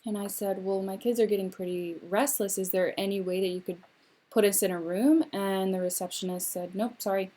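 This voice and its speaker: Young woman, mother, telling a personal story as a spoken interpretation; measured, phrase-by-phrase pacing. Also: medium pitch, fairly even intonation, dark tone.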